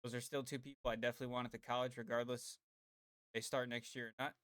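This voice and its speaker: A man's voice: medium pitch, little pitch movement, quick pace, a bit bright, clear, resonant tone, no echo, clean studio sound. A man co-hosting a casual podcast, rambling and laid-back, swearing freely. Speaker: podcast co-host.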